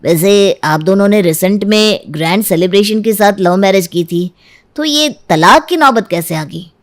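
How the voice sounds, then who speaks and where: A woman's voice: mid-range voice, expressive, normal speed, natural voice. A woman voicing a smug, meddling male divorce lawyer in a story, reassuring and insinuating. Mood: curious.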